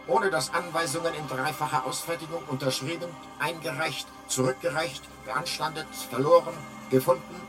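An actor voicing a talking electronic guidebook in a radio drama, a drily authoritative male narrator, measured and deadpan. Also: on the high side, close to an everyday voice. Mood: dry, instructive. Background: music.

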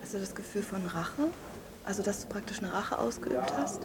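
A woman's voice: mid-range voice, moderate pace, gravelly, very noisy, natural voice. An actress playing a probing woman interviewer putting careful questions in a naturalistic radio drama.

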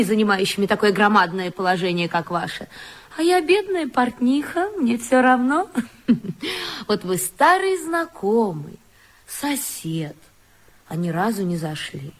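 A woman's voice: mid-range voice, very animated, a little slow. A woman acting in a radio play, cool and ironic, coaxing in turns.

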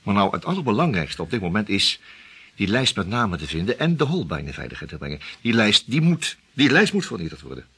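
A determined detective from an old radio play, reasoning things through aloud in earnest, as a man plays him. His voice is deep and a little husky, and it rises and falls widely. He sounds serious and steady. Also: brisk, full character voice. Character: determined male detective, level-headed.

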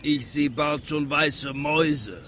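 Grumpy Prussian, sour griper, slightly high voice, slow, rhymed radio comedy. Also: male voice, a bit bright, a little hiss or hum. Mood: impatient. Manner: grumbling. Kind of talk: rhymed comic radio play.

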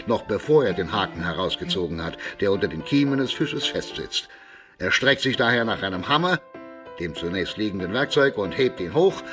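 A man giving vivid, theatrical scripted narration for a radio play. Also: lowish voice. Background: music.

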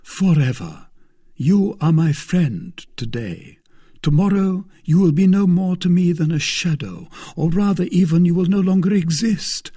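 A man voicing a melodramatic, fervent young gentleman in a storybook, declaiming his lines theatrically. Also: high-pitched, lively intonation, unhurried, breathy edge, pitched above the performer's own voice, heavily acted. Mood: gloomy, solemn. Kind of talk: acted dialogue in a storybook reading.

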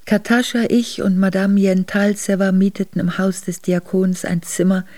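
An actress playing an earnest, reflective exiled noblewoman, narrating her memoir in a measured way for a radio drama. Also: mid-range voice, level tone, mellow, no room sound, lightly acted. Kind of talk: literary first-person radio drama narration.

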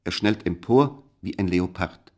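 A male narrator of a scripted radio play with a deep voice. He tells the story in a measured, suspenseful way. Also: darkish tone.

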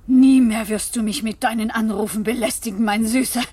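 A woman's voice: a little high, steady tone, fairly quick, mellow, a little hiss or hum, natural voice. An actress playing a nervy, cold-blooded woman who talks to herself theatrically in a 1970s German radio play. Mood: mocking. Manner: theatrical, talking to herself in exclamations. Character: Françoise, cold-blooded nervous young Parisian blackmail victim turned killer.

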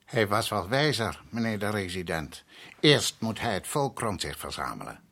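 An actor playing a proud island head priest in a radio drama, courteous and measured yet stubborn, with a husky voice. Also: male voice, on the low side, very animated, a bit bright, exaggerated voice. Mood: grave, warning. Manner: measured, courteous, evasive, insistent.

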